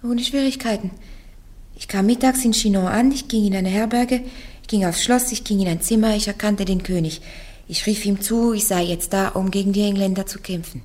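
A woman's voice: mid-range voice, a little fast, a little mellow, close to an everyday voice. A woman playing a fearless young peasant visionary. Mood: bold. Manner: plain, matter-of-fact, curt when questioned. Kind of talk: German radio play acting.

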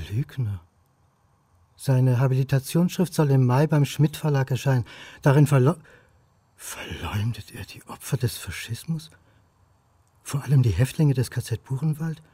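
Man acting uneasy, wry historian, mellow husky voice. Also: medium pitch, close to an everyday voice. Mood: halting, troubled. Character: uneasy, wry male historian, targeted academic. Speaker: radio drama lead and first-person narrator. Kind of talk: radio crime drama acting.

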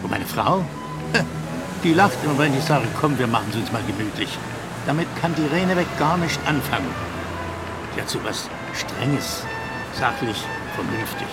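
An actor playing an older, sentimental husband from Leipzig, chatting in a confiding, folksy way in a radio play. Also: male voice, medium pitch, vocal fry. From Leipzig, Germany. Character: chatty sentimental Saxon husband, long married, cosy-minded. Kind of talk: interview-like monologue in a radio play.

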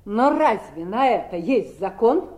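A woman's voice: mid-range voice, sing-song, measured pace, dark tone. A woman acting a shrewd, grasping sister in a Soviet radio drama, coolly insistent and playing innocent. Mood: feigned innocence. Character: shrewd, grasping Southern sister bargaining with her brothers.

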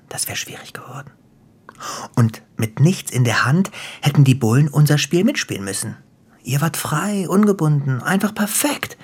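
Husky, sardonic gangster boss. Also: male voice, on the high side. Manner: taunting, theatrical, dropping into whispers. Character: menacing, sardonic gangster boss, cruel and playful. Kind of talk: German radio drama acting.

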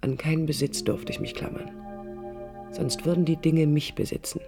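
A woman's voice: deep voice, steady tone, normal speed, over music. An actress playing a restless, idealistic young woman architect, narrating reflectively in a German radio drama. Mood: wistful, resolute. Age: young adult. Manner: reflective, literary, emphatic short phrases. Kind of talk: 1980s German radio drama, introspective first-person narration.

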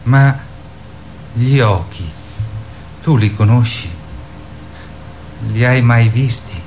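A man playing a naive, earnest young boy who speaks in a halting, wondering, childlike way in a radio drama. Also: medium pitch, darkish tone, a little hiss or hum. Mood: hesitant, uneasy. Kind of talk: theatrical radio drama acting.

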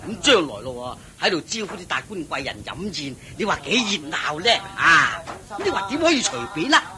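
An actor playing a fussy, boastful, wealthy old head of a household in a radio drama, with a Hong Kong Cantonese accent, sighing and chuckling. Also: male voice, very animated, moderate pace, crowd noise. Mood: agitated, boastful. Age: older. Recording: noisy old radio drama, low rumble.

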